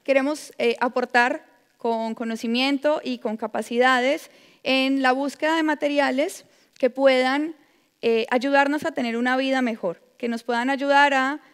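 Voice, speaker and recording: A young adult woman from Colombia with a Colombian Spanish accent, giving a measured conference talk as a predoctoral researcher, with frequent filler sounds. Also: high voice, fairly even intonation, clean tone. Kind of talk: conference presentation. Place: large lecture hall. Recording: long hall reverb.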